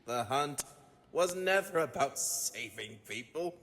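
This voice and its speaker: An actor playing a gloating, obsessive mad scientist in a gothic audio drama, theatrical, taunting and sneering. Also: male voice, mid-range voice, lively intonation, slow, a bit bright. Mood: scornful, zealous. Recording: long hall-like reverb.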